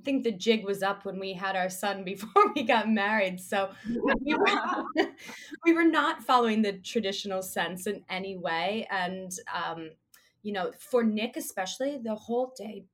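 A woman speaking as an interview guest, relaxed and chatty, with a hoarse voice. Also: medium pitch, measured pace. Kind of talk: casual interview chat.

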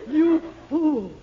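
A woman's voice: very high voice, full character voice. A woman playing an exasperated, scolding mother in a 1940s radio sitcom, with indignant theatrical delivery. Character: exasperated scolding mother, a dress-shop keeper. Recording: muffled, faintly noisy 1940s radio recording.

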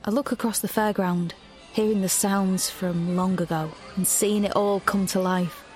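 A woman's voice: medium pitch, fairly even intonation, moderate pace, darkish tone, soft background music, natural voice. An actress playing a troubled young woman searching for answers in a science fiction audio drama. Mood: wistful. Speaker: lead actor and narrator. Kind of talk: dramatic audio drama acting with first-person narration.

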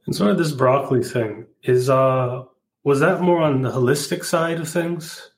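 A man's voice: mid-range voice, moderate pace. A man hosting an interview, reacting briefly and asking short follow-up questions. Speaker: podcast host.

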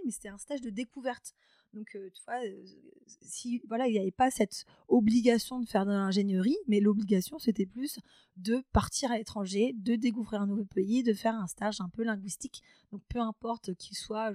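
A woman's voice: mid-range voice, dark tone, no room sound. A woman in her thirties, a podcast guest, talking casually with many hesitations and restarts. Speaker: podcast guest, company founder. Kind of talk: casual interview talk.

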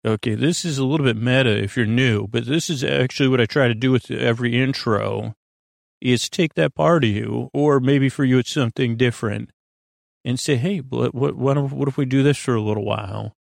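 A man hosting a podcast, delivering a meandering, halting bedtime monologue in a reassuring, gently encouraging way, often trailing off and doubling back. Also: mid-range voice, creaky, no room sound, studio quality. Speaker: podcast host. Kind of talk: rambling bedtime monologue meant to help listeners sleep.

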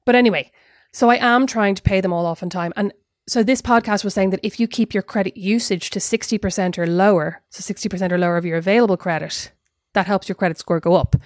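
Irish woman rattling on fast in a casual podcast chat, laughs at herself. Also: medium pitch, dry recording, clean studio sound. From Ireland. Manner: chatty, rambling, self-mocking. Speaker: podcast co-host.

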